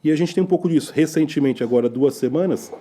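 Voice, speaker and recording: A man's voice: fairly high pitch, fast talker, warm tone. A middle-aged Brazilian lawyer, a man, chatting as a guest, teasing his host and explaining his work at length. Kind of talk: casual interview chat.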